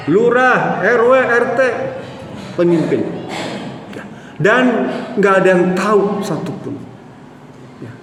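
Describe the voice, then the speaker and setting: A man giving a sermon, telling stories and often addressing the listeners as "Pak". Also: high voice. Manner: addresses the audience as "Pak", tells stories with acted quotes. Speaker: preacher. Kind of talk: Islamic sermon storytelling. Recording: echoey hall with faint ambience.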